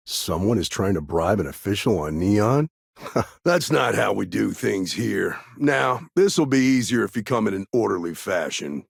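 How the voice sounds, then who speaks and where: A man playing a guarded, world-weary frontier lawman in a video game, with a gravelly, husky voice and laconic, folksy phrasing. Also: sits low, dry recording, clean studio sound, full character voice. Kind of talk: game dialogue lines.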